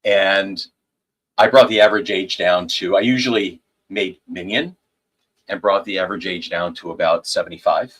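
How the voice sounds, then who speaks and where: A middle-aged man from upstate New York, a rabbi, telling his story in a measured, wry way. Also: deep voice, steady tone, a bit bright, slightly rough, low-quality recording. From upstate New York, USA. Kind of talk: personal storytelling.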